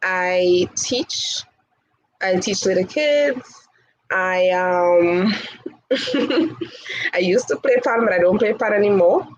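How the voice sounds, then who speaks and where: A woman's voice: mid-range voice, expressive, slow pace, pauses often, no echo, rough recording. A woman in her twenties from Tobago with a Tobagonian accent, talking outspokenly and laughing often in a casual interview. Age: 20s. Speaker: interview guest, hall chair.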